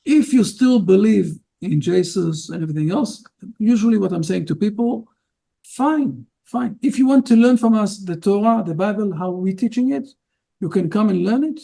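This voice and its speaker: Gravelly high-pitched man, halting English. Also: lively intonation, dark tone. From Israel. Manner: halting non-native English with frequent fillers. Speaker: rabbi. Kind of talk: interview guest.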